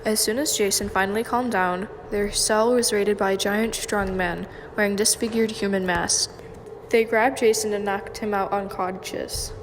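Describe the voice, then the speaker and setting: A woman's voice: mid-range voice, fairly even intonation, moderate pace. A young woman reading scripted story narration for an amateur radio drama. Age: young adult. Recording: noisy hall with long reverb.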